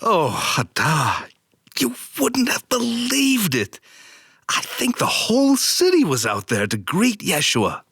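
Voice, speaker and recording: A woman acting an amazed townsperson in a biblical audio drama. The voice is very animated and slow, slightly husky, recorded dry. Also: mid-range voice, dry recording, full character voice. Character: excited biblical townsperson marvelling at a great welcome.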